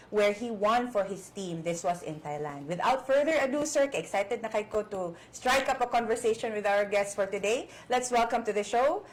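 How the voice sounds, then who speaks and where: A young Filipino woman guest hosting a talk show in an upbeat, bright manner. Her voice sits somewhat high, and she moves easily between English and Cebuano. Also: rough recording. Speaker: guest host.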